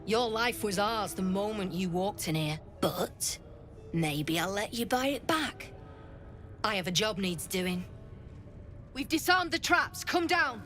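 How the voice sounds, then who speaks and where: An actress playing a blunt, threatening criminal boss, speaking in a businesslike way. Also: female voice, mid-range voice, lively intonation, slow pace, fairly bright tone, faint music behind. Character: tough criminal gang boss woman.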